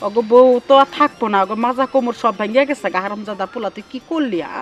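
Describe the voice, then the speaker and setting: A woman's voice: a little high, fast, faint music behind, natural voice. A woman voicing a brash, scolding village mother in a comic cartoon, with a rural Bangladeshi accent. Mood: scolding, amused. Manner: scolding, coarse, boastful. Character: brash, heavyset village mother fiercely defending her son.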